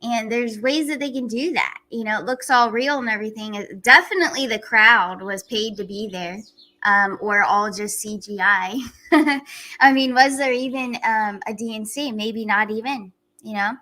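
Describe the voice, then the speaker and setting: Bright, excitable woman livestream host. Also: a little high, moderate pace. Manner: excitable, chatty, laughs often. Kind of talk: casual livestream chat.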